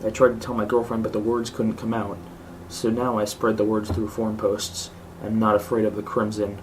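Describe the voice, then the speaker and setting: Low-pitched, monotone man reading horror stories. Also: moderate pace. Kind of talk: scripted horror story narration. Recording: clearly noisy home recording.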